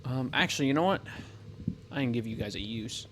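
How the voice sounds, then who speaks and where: A man's voice: medium pitch, expressive, brisk, noisy background. Rambling man narrating his gameplay. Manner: rambling, thinks aloud, self-correcting. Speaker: gameplay commentator. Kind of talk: casual let's-play commentary.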